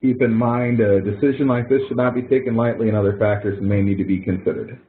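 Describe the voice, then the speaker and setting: A man's voice: mid-range voice, steady tone, quick pace, a little hiss or hum. Man teaching casually over a phone-like line. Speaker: instructor leading an online help session. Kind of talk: casual screen-share walkthrough of a spreadsheet exercise. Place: online web conference. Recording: muffled narrow phone-like audio.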